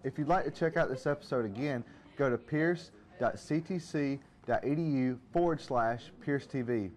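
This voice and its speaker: Mid-pitched man co-hosting TV. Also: moderate pace, darkish tone. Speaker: TV show co-host. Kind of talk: scripted presenter links.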